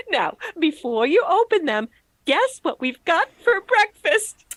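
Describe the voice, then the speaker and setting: A woman's voice: very high-pitched, big pitch swings, slow, heavy background noise, heavily acted. A woman playing a fussy, playful landlady in a 1940s-style radio comedy, speaking in a fluttery, singsong way. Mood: playful, gleeful. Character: fussy, chirpy, playful landlady serving breakfast.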